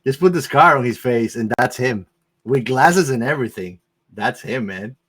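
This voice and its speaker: A man's voice: mid-range voice, animated, normal speed, a bit bright, slightly rough. A man co-hosting a podcast, talking casually about a film. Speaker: podcast co-host. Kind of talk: casual movie discussion.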